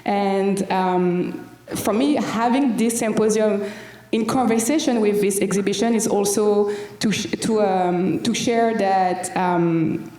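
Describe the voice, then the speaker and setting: A woman's voice: mid-range voice, takes it slowly, dark tone. A woman from France with a strong French accent, speaking English hesitantly on a panel with many fillers and restarts. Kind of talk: panel discussion. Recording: roomy hall, mic at a distance.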